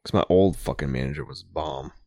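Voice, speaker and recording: A man chatting in a relaxed, laid-back way, swearing casually. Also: rumbling low, a little fast, gravelly, no room sound, clean studio sound. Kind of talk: casual podcast chat.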